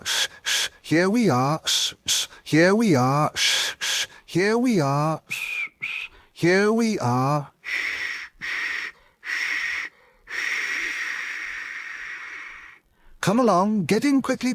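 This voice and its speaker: A man's voice: high-pitched, big pitch swings, measured pace, a little mellow, no echo, pitched above the performer's own voice, heavily acted. A man voicing a cheerful, eager male steam engine that chugs along with puffing shh sounds. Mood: cheerful chugging.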